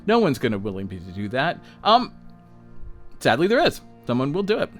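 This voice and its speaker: A man's voice: medium pitch, wildly swooping pitch, moderate pace, faint music behind. A man in his sixties talking casually and bluntly, swearing freely, mocking himself and checking in with the listener. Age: 60s. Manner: blunt, profane, self-mocking, lots of "um" and "all right?". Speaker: podcast host, self-employed designer. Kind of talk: unscripted solo talk.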